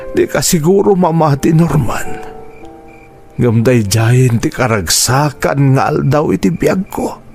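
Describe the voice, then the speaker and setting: A man playing a middle-aged, remorseful father in an audio drama, patient, earnest and pleading. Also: medium pitch. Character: remorseful, patient father confessing to his son. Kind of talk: Ilocano audio drama acting.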